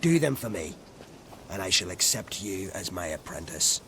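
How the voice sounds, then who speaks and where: A crotchety old druid from a fantasy game, low in pitch and full of testy condescension. His phrases trail into breathy whispers, and there is clear noise behind him. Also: sing-song, normal speed, a bit bright, exaggerated voice. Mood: imperious, condescending. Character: crotchety, haughty old male druid and alchemist. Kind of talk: scripted video game acting.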